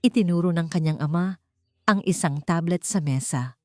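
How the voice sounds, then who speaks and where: A woman's voice: fairly low pitch, normal speed, no echo, studio quality. A woman audiobook narrator reading a scripted dramatic story. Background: background music.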